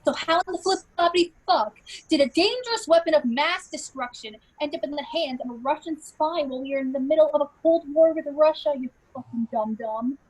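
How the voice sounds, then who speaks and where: A woman's voice: sits high, a little fast, close to an everyday voice, poor audio. A woman playing a brash, foul-mouthed female secret service boss, sarcastic and scolding, in a comedy script read. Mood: exasperated.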